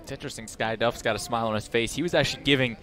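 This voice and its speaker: A man's voice: mid-range voice, very fast, a bit bright, faint music behind. A man giving baseball analysis as a color commentator on a game broadcast.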